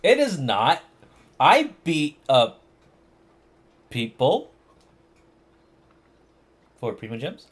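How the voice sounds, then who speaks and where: A woman's voice: low voice, huge pitch swings, extremely slow, pauses often, husky. A woman streamer giving sarcastic, exclamatory reactions during gameplay. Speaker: game streamer.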